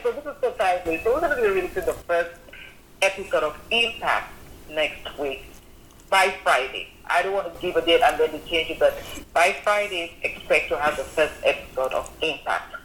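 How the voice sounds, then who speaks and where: A woman with a Cameroonian accent, a magazine founder speaking as a guest on a radio interview, expressive and quick to laugh. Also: lowish voice, reverberant, a little hiss or hum, rough recording. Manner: expressive, laughing often, acts out quoted voices. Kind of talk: animated radio interview talk.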